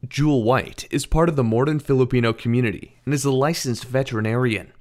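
A man hosting a radio-style program, asking interview questions and reading scripted introductions in a calm, even manner. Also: medium pitch, fast. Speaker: radio host.

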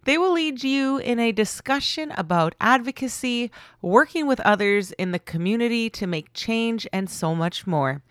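A woman's voice: on the high side, expressive, moderate pace, clear, resonant tone, no echo, clean studio sound. A Canadian woman reading a scripted podcast introduction as its host. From Manitoba, Canada.

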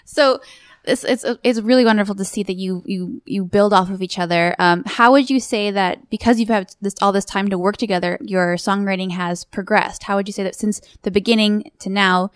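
Smooth-voiced woman interviewer, halting. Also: medium pitch, no echo, clean studio sound. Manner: halting, repeats words while asking. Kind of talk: interview questions.

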